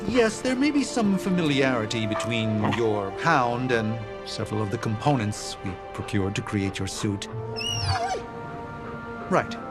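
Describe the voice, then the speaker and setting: An actor playing a learned, self-assured sorcerer doctor in a video game, speaking formally and articulately with dry measured authority. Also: male voice, mid-range voice, sing-song. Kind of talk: video game cutscene acting. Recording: long reverb, music behind.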